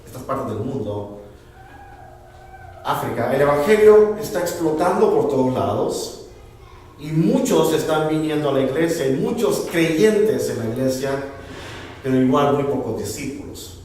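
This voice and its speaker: A man's voice: a little high, animated, unhurried, darkish tone, slight background noise. A man from Honduras with a Honduran accent, preaching a bilingual sermon and repeating words as he looks for them. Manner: switches between Spanish and English, repeats words while searching for them. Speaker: pastor. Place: church sanctuary. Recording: echoey church hall from far off.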